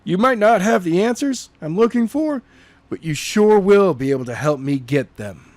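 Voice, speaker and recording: A man's voice: sits high, expressive, moderate pace, a little mellow, no echo, voice pushed up. A man reading a protective young man, urgent.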